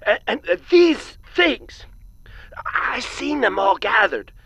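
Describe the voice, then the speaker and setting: A woman playing a quirky, agitated elderly maintenance supervisor in an audio drama, with a wheezy old voice and halting, rambling delivery. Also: on the high side, big pitch swings, gravelly, fairly bright tone, breathy edge, dry recording, heavily acted. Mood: alarmed, conspiratorial. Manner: halting, rambling, exclamatory storytelling.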